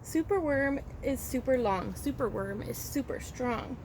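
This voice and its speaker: Female childcare storyteller, fairly high voice, expressive rhyming read-aloud, faint background noise. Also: a little slow. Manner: expressive, rhythmic rhyming delivery. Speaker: childcare worker reading to children.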